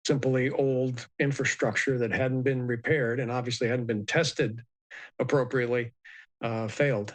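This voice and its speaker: A man giving a measured, explanatory expert commentary in a live news interview, with many ums and uhs. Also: medium pitch. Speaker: aviation expert guest.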